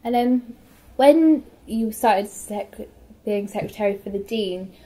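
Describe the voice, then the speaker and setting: A woman's voice: mid-range voice, slow pace, warm tone, poor audio. A young woman interviewing an older university employee, asking casual, slightly hesitant questions. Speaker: student interviewer. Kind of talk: oral history interview.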